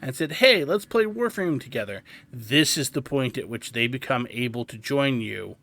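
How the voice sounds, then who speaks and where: Bright-voiced man hosting a game guide. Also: medium pitch, lively intonation, normal speed. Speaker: gaming guide host. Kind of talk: casual tutorial game commentary.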